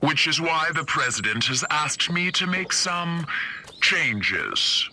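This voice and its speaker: A man playing a cold, commanding secret government agency chief in a video game, measured, authoritative and menacing. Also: a little high, very animated, sharp tone, a little hiss or hum, full character voice. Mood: smooth, assertive. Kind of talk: 1950s-set video game cutscene acting.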